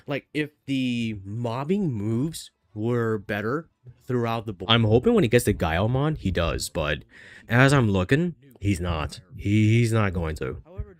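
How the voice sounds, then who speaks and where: A man with a stuffy nose from a cold, chatting casually and thinking aloud while playing a game, with frequent fillers and restarts. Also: fairly low pitch. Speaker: gaming streamer. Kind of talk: casual gameplay commentary.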